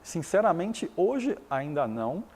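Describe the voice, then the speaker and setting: Taiwan-born guy, chinese first language, kinda high voice, lots of restarts, echoey hall sound. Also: sing-song, unhurried, darkish tone. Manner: restarts and repeats words, explains step by step. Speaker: interviewee, business founder. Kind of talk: business interview.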